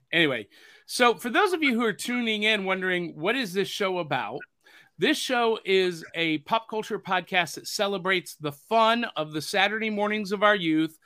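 Man, podcast host, bright tone, chatty, dry studio sound. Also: high voice, no room sound. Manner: upbeat, chatty, jokey. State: hoarse from overuse. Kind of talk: casual podcast chat.

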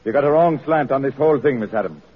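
An actor playing an evasive, defensive city political boss in a radio drama, placating and hesitant. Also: male voice, mid-range voice, animated, fast. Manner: hesitant, placating, smooth-talking. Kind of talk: vintage radio drama acting. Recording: phone-like husky old radio, reverberant.

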